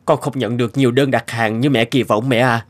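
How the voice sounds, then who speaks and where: A man voicing a dutiful but stifled young adult son in an animated moral tale, speaking earnestly and politely with a plaintive edge. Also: mid-range voice, a little fast, close to an everyday voice. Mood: downcast.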